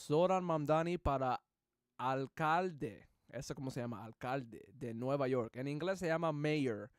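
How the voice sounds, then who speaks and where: A man hosting a livestream, reacting excitedly and switching between English and Spanish, with English as his first language. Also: fairly high pitch, clear, resonant tone, no room sound, clean studio sound. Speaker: livestream host. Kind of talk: casual reaction commentary.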